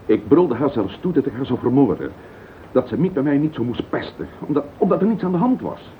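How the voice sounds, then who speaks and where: Radio drama husband, played by a male actor: bitter, guilt-ridden, halting, swinging widely in tone. Tinny old recording. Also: medium pitch, very animated, a little hiss or hum, lightly acted. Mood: haunted, troubled. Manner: halting, emotional, self-justifying. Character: distraught husband confessing a murderous marriage, bitter and guilt-ridden. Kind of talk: radio play acting.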